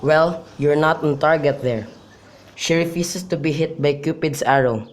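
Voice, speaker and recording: A man's voice: a little high, unhurried, close to an everyday voice. A young man playing a lovesick, brooding youth in an amateur radio drama of modern-English Shakespeare, with birdsong behind him. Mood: pining, despairing. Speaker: student actor. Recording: faint background noise with birdsong.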